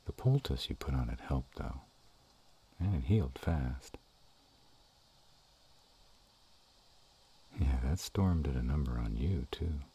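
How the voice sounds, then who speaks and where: Guy doing a gruff but soft-hearted dragon boyfriend, super deep n mellow, slow teasing murmur, romance roleplay audio. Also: expressive, exaggerated voice. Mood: tender. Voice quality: very deep and husky. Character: affectionate, bashful, gruff male were-dragon lover.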